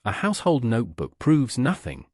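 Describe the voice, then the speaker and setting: A man acts out an older Regency gentleman from a storybook, smooth and controlling. He speaks formally and with condescension, used to giving orders. The pitch is middling but moves a good deal. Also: huge pitch swings, moderate pace, no room sound, studio quality. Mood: commanding.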